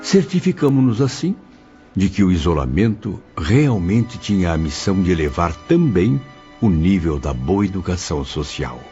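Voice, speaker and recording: A man's voice: deep voice, very animated, moderate pace, warm tone. A man giving scripted first-person narration in an audio drama, formal and reflective. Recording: slightly muffled with music behind.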